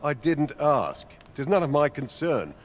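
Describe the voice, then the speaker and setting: Messenger: fairly high, animated pitch, brusque and indifferent, fantasy game line over faint ambience. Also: male voice, measured pace, a little hiss or hum. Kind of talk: scripted fantasy game dialogue.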